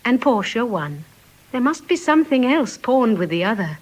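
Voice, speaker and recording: An actress playing a gentle, quick-witted young wife with poised, earnest diction in classical Shakespearean style. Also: female voice, fairly high pitch, very animated, poor audio. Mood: earnest admiration. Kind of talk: classical Shakespearean stage acting.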